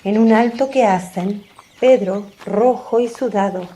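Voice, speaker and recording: A woman giving a measured, descriptive scripted narration as the narrator of a radio drama. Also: medium pitch, mellow. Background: faint music.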